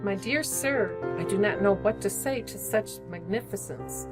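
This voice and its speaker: A woman acts out a genteel charity lady in a radio play, flustered and full of astonished gratitude. She speaks formally at a middling pitch, with clear music behind her. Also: animated, moderate pace, natural voice. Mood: astonished, grateful. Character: astonished, grateful genteel female charity collector. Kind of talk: old-time radio drama acting.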